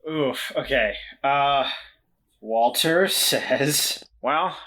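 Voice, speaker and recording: A man's voice: medium pitch, lively intonation, slow pace, sharp tone. A man talking casually as a player in a tabletop roleplaying game, hesitant and thinking aloud.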